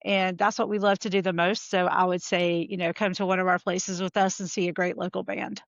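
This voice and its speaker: A woman's voice: on the low side, little pitch movement, brisk, vocal fry, no room sound. Southern woman on a muffled call. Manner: chatty, filler-heavy. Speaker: podcast guest. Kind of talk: casual interview talk.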